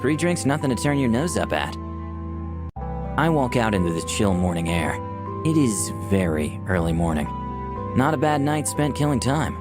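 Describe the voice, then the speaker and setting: Man as an easygoing young hero, very animated. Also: mid-range voice, normal speed, lightly acted. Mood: reflective. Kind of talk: scripted first-person narration and dialogue. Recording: dry, faint music behind.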